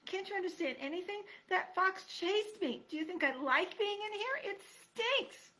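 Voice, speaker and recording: Woman voicing a timid young girl deer mouse; high, pleading, frightened. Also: animated, moderate pace, bright, forceful tone, heavily acted.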